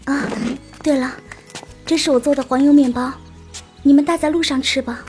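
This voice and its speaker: A kind young woman in an audio drama, performed by an actress. She speaks in a high, caring voice with faint music behind her. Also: steady tone, normal speed, darkish tone, lightly acted. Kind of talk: Chinese audio drama acting.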